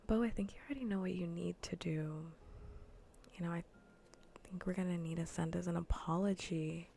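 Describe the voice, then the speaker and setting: Gravelly, half-whispered woman streamer. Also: lowish voice, steady tone, normal speed, warm tone, slight background noise. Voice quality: breathy, often whispering, gravelly fry. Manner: casual, rambling, repeats phrases. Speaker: livestreamer. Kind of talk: soft ASMR gaming livestream chat. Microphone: close ASMR microphone.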